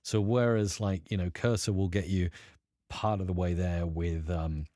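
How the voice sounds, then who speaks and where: Low, mellow-voiced male live streamer. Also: steady tone, moderate pace, no room sound, clean studio sound. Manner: rambling, filler-heavy, upbeat and encouraging. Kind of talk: casual live stream talk answering chat.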